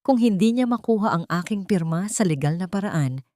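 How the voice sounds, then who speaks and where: A woman's voice: medium pitch, expressive, normal speed, mellow, dry recording, clean studio sound. A woman with a Filipino accent reading scripted, dramatic first-person narration as a storyteller.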